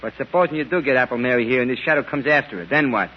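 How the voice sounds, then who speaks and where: An actor playing a nervous, superstitious petty crook and gangster's sidekick, husky and pleading, with American slang, in a 1930s radio drama. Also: male voice, mid-range voice, rapid-fire, a little hiss or hum, lightly acted. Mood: fearful, pleading. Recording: dull 1930s radio recording.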